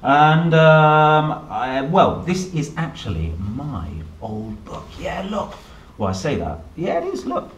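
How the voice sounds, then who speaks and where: A man in his thirties reading a picture book to young children, playful and expressive, with chatty asides. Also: fairly high pitch, takes it slowly, dull audio. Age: 30s. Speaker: storyteller, host reading children's books. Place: indoor room, seated at a table. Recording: roomy echo, some background noise.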